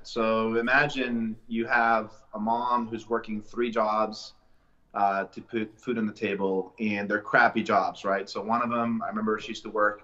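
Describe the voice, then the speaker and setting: Podcast guest: man from Colombia, lowish voice, reflective storyteller, dull lo-fi audio. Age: adult, a father. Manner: relaxed, reflective, many fillers and restarts. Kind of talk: casual interview storytelling.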